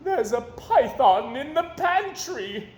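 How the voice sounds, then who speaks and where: Man putting on shrill, excitable villagers. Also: slow, exaggerated voice. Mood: amazed alarm. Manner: high, exclaiming. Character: excitable, alarmed village townsfolk. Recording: roomy echo, faint background noise.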